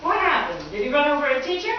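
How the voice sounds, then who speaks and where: A woman playing a wisecracking high school teacher with a dry, sardonic delivery in an old-time radio comedy. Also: very animated, fast talker. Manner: dry, sardonic, mock-formal. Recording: echoey stage from far off, dull, hissy.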